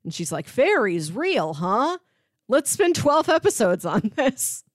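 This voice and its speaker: A woman with a very animated, mid-pitched voice chats as a podcast co-host. She is talkative and playful, in a casual style. The sound is close and dry. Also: slow, no echo. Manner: chatty, playful. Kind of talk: casual podcast chat about media.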